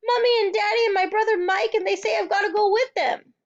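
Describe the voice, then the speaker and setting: A bright, anxious little girl with a high, breathless voice cries out in urgent pleas, as a woman puts her on. The storybook voice sits well above a grown-up's, and the recording sounds slightly muffled. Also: animated, unhurried. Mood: distraught. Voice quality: high and breathless when upset. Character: bright distressed little girl, about five.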